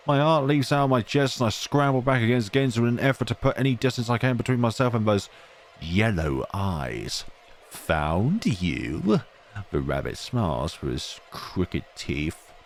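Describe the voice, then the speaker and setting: A man reading a horror visual novel aloud as a let's player, adding casual, wry, understated asides. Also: lowish voice. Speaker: video game let's player.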